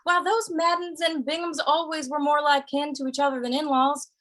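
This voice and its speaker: A woman's voice: high voice, flat delivery, normal speed, a bit bright. A woman playing an amiable, curious cowboy in an old-time western radio drama, with a mild, hesitant, folksy way of talking. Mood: musing.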